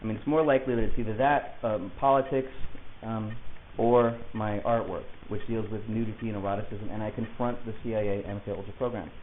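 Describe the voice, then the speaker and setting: A man playing an agitated, rambling client who insists he is being targeted, talking in a halting, digressive, self-justifying way in a naturalistic audio drama. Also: fairly low pitch, steady tone, close to an everyday voice. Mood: earnest, insistent. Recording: hissy, tinny phone-like lo-fi recording.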